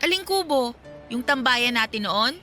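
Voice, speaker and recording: A woman's voice: a little high, lively intonation, normal speed, bright, forceful tone, faint music behind. A woman playing a wistful young Filipina chatting casually with an old friend in a radio drama, with a Filipino accent. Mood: curious, wistful. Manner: casual, conversational.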